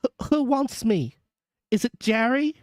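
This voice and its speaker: A reader voicing an anxious, polite gentleman traveller with a slightly quavering, hesitant way of speaking, in a storybook. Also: medium pitch, big pitch swings, normal speed, dry recording, studio quality, pitched above the performer's own voice, natural voice. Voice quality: mildly quavering.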